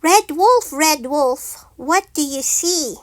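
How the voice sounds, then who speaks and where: A woman reading a children's picture book aloud as a teacher, in a deliberate, singsong, repetitive way. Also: high voice, lively intonation. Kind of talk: slow children's read-aloud.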